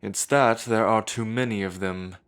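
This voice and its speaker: A man's voice: lowish voice, steady tone, moderate pace, fairly bright tone, smooth. An actor playing a proud, hostile male elder of a werewolf council, speaking with cold contempt. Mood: contemptuous. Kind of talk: audio drama acting.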